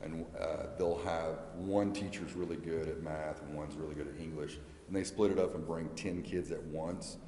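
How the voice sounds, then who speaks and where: An American man answering questions at a public meeting as a school representative, explaining haltingly and repeating words. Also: a bass voice, fairly even intonation, warm tone. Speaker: school representative addressing a city council. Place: large indoor council chamber. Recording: echoey meeting hall with background noise.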